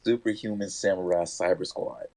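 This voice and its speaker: A man in his thirties, a podcast co-host, chatting casually and telling stories with excited slang. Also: low-pitched, normal speed. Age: 30s. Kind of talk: casual chat.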